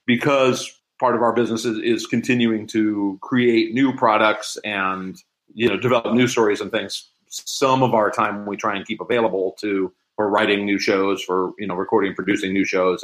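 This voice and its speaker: A man's voice: on the low side, flat delivery, moderate pace, fairly bright tone, rough recording. A man speaking as an interview guest, explaining things in a casual, conversational way. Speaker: interview guest, audio drama producer.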